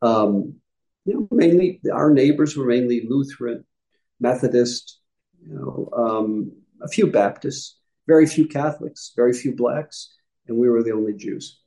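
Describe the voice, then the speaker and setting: A man's voice: mid-range voice, unhurried, warm tone, poor audio. A middle-aged man from upstate New York, a rabbi answering interview questions in a conversational, explanatory way, often correcting himself mid-sentence. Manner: explanatory, with frequent self-corrections and asides. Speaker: guest rabbi.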